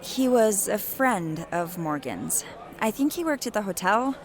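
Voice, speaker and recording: A woman's voice: mid-range voice, lively intonation, unhurried, natural voice. A woman playing a guarded, sarcastic young woman in a naturalistic audio drama, speaking dryly and a little hesitantly. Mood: casual. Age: young adult. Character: wry, guarded young woman grieving her uncle. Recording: music bed behind the voice.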